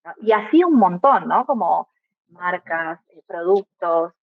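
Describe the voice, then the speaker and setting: A young woman from Argentina with a clear Argentine accent talks through her ideas in a reflective way, often checking in with small tag questions. Her voice comes over a tinny, phone-like line. The sound is dry, with almost no room around it. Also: lowish voice, expressive, measured pace, dry recording. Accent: Argentine Spanish. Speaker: guest, migrant mother. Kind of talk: reflective podcast conversation.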